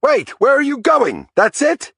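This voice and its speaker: A man doing a shrill Italian store owner. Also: animated, moderate pace, no echo, clean studio sound, lightly acted. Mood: frantic. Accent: thick Italian accent. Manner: shrill, frantic, exasperated.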